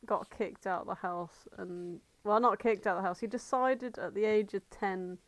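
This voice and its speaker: A woman's voice: mid-range voice, normal speed, no room sound. Blunt, sweary female podcast co-host. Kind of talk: casual banter about fandom.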